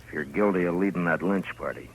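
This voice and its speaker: A world-weary, principled marshal of the Old West. His deep voice is measured and terse, in the manner of 1950s radio drama. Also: a little hiss or hum, poor audio. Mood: stern. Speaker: series lead.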